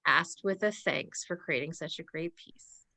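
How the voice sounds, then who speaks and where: A woman's voice: deep voice, a little slow, sharp tone. A woman hosting and moderating an audience discussion, addressing panelists and viewers. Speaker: host, moderator.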